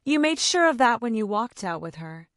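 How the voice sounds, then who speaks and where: A woman's voice: on the high side, normal speed, no echo. Young woman archivist, wounded and emotionless on the surface, voiced by the narrator; dry studio sound. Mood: hurt. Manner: flat, emotionless.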